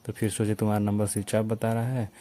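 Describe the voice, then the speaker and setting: Low, mellow eastern UP man, complaining. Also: monotone, fast. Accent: eastern Uttar Pradesh. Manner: accusing, complaining. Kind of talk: casual phone chat. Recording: noisy phone call recording.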